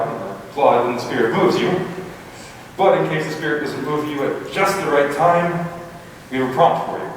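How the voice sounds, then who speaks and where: A man speaking as a formal, showmanlike old-time radio announcer to a live audience. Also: mid-range voice. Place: live stage before an audience. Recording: echoey hall, distant mic, faint noise.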